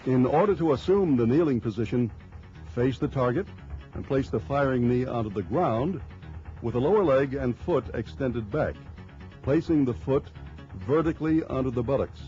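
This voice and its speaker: A man reading scripted instructional narration for a training film in a measured, formal, explanatory way. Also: medium pitch, expressive, warm tone, faint music behind. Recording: dull lo-fi early 1990s film soundtrack.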